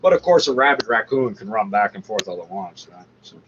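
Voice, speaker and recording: A man's voice: lowish voice, expressive, a little fast, fairly bright tone. A man ranting off the cuff in a combative, scornful, profane way as he comments on a broadcast. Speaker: commentator reacting to a radio show. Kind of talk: off-the-cuff rant over a played broadcast. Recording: muffled, faintly noisy lo-fi recording.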